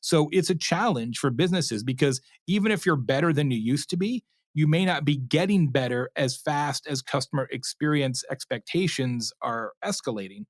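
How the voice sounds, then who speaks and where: A man's voice: a little high, moderate pace, hardly any pauses, no echo, studio quality. A man speaking as an expert interview guest, explaining conversationally and acting out imagined quotes as he goes. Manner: animated storyteller, acts out imagined customer quotes, checks in with "right?".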